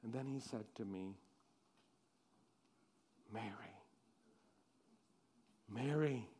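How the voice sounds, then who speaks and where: Man acting out Mary Magdalene, high and unhurried. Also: expressive, a bit bright, a little hiss or hum, exaggerated voice. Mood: hushed grief. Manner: dramatic first-person storytelling with repetition. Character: Mary Magdalene, grieving then joyful woman disciple. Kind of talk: dramatic monologue for a congregation.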